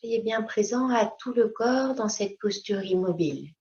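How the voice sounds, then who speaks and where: A woman teaching yoga nidra, leading a slow guided meditation in a calm, unhurried way with short, gentle instructions. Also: mid-range voice. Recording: dull, lo-fi sound.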